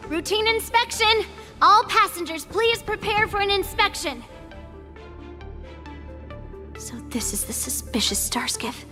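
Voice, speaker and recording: Casting: bold young swordswoman, high voice, self-assured, anime game, reverberant with faint music. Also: animated, moderate pace, bright, forceful tone, exaggerated voice. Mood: commanding, impatient. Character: confident, bold young girl sword warrior, Yunli. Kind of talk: English anime game voice acting.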